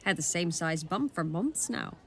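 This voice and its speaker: A woman's voice: fairly low pitch, expressive, normal speed, gravelly, fairly bright tone, breathy edge, exaggerated voice. A woman playing a cunning, defiant pirate prisoner in an audio drama, blunt and bold. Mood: sly. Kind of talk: musical audio drama acting.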